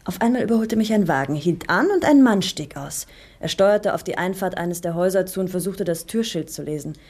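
A woman playing a shaken young woman, a doctor's kidnapped daughter, speaking softly and tentatively in an old radio crime play. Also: fairly low pitch, animated, brisk, breathy edge. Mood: recounting. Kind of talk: 1960s German radio play acting.

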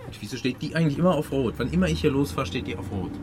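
An actor playing a middle-aged, wounded and jealous husband in an improvised German radio comedy drama, reproachful, agitated and interrogating. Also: male voice, mid-range voice, lively intonation, very fast, noisy background, close to an everyday voice. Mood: irritated. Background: music in a car.

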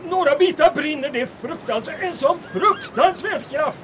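Man acting a very high-pitched alarmed lookout. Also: lively intonation. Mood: horrified. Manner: urgent, breathlessly reporting. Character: alarmed, horrified lookout watching through binoculars. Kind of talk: audio drama acting. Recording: outdoor scene with faint noise.

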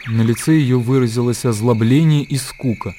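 A man's voice: medium pitch, normal speed, warm tone, faint music behind. A man reading scripted literary narration for a radio play. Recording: faint birdsong and outdoor ambience.

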